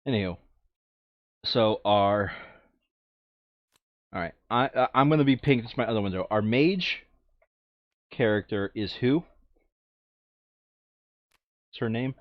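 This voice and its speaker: A man's voice: lowish voice, expressive, slow pace, pauses often, dry recording, lo-fi sound. A man chatting casually as a player planning a board game session, thinking aloud and repeating his phrases.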